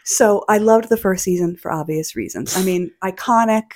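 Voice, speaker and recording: A woman's voice: mid-range voice, normal speed, a little mellow. A woman co-hosting a podcast, chatting in a bubbly, giggly way. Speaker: podcast co-host. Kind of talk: casual chat.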